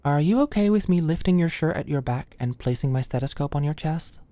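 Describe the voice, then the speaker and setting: A woman's voice: deep voice, animated, brisk. A young woman playing an anxious, awkward female medical student in an intimate ASMR roleplay, speaking hesitantly and attentively. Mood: careful, nervous. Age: young adult. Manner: nervous, hesitant, stammering, softly attentive. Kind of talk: ASMR roleplay, intimate scripted one-sided dialogue. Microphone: close studio-style mic, dry.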